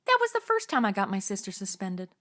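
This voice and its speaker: A woman's voice: mid-range voice, wildly swooping pitch, fast talker, fairly bright tone. An actress playing a brash, nosy, flippant young modern woman in an audio comedy, talking in casual, sassy slang. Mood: flippant, gossipy. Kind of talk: comedy audio drama acting.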